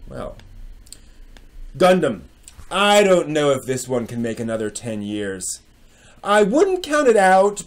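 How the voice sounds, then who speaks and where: Animated middle-aged man, swinging emphatic delivery. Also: mid-range voice. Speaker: host. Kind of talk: dramatic reading and casual commentary. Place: small furnished room at home with bookshelves.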